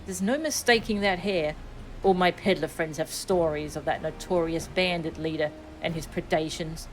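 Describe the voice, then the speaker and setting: A woman puts on an eager peddler from a fantasy game. The talk is dramatic and salesy, lifted a little in pitch, with music behind. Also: normal speed, lightly acted, poor audio. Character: eager, talkative fantasy merchant with a salesman's streak.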